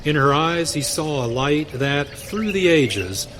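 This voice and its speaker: A man narrating a western radio drama in a measured, storytelling manner. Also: a little high, animated, fairly bright tone, slight background noise. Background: music.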